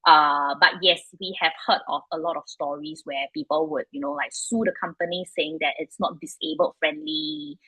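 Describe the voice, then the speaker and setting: A woman's voice: sits low, fairly even intonation, moderate pace, few pauses, pushed and bright, breathy edge, dry recording, rough recording. A woman in her thirties from Singapore with a Singaporean English accent, a startup founder answering interview questions frankly, in a loose, chatty way full of fillers. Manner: frank, chatty, many fillers like "like" and "you know".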